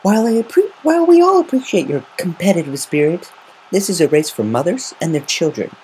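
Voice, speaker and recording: Guy doing a formal lady mayor pony, high voice, kinda flustered, faint noise. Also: big pitch swings, fairly quick, dark tone, rough recording. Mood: polite, flustered.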